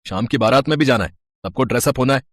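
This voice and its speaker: A man's voice: mid-range voice, fast talker, fairly bright tone, dry recording, studio quality, lightly acted. A man voicing a brusque, bossy owner of a wedding band in a story. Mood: dismissive, scolding. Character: gruff, dismissive male boss of a wedding band.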